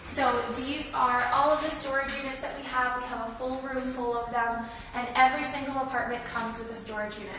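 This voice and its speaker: Young woman kinda raspy from a cold, chatty tour guide, voice bouncing round a big empty room from far off. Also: high-pitched, fairly even intonation, brisk, heavy reverb, background noise. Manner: friendly, chatty, informative. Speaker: leasing tour host. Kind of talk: live walkthrough tour. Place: echoey empty indoor rooms.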